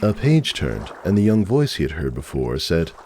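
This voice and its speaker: A man's voice: low voice, wildly swooping pitch, moderate pace, background noise. A man narrating a story from a script as a dramatic reading.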